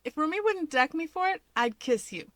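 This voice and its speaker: A woman voicing a wry, harried young male hero with brisk delivery in an audio story. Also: high voice, animated, a bit bright, no echo, pitched above the performer's own voice.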